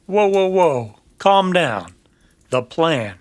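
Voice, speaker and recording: Man acting a slow-talking, wry western bounty hunter. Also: fairly high pitch, big pitch swings, voice pushed up. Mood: placating. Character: wry, steady Old West bounty hunter. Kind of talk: old-time western radio drama acting.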